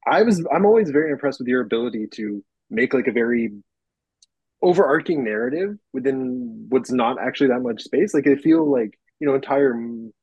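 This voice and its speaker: A man's voice: medium pitch, expressive, brisk, darkish tone, breathy edge, dry recording, highs cut off. A man, a horror writer, chatting casually on a podcast in a rambling, self-deprecating way with many fillers.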